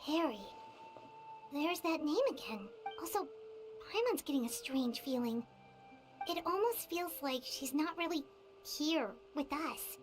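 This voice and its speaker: An actress playing a small, cute, childlike fairy companion in a video game, curious and caring. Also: female voice, high-pitched, lively intonation, a little slow, over music. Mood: puzzled, concerned. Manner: cute, speaks of self in third person.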